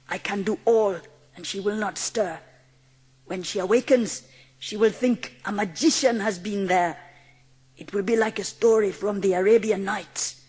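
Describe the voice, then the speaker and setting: A woman voicing a gentle, watchful Indian manservant with formal, reverent phrasing in a dramatised audiobook. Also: mid-range voice, husky, in a large hall, lightly acted.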